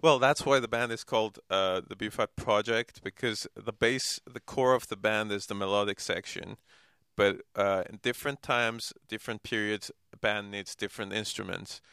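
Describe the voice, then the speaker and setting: A man's voice: on the low side, fairly even intonation, moderate pace, a bit bright, clear, resonant tone, dry recording, clean studio sound. A man from Armenia with an Armenian accent, a musician answering casually as an interview guest.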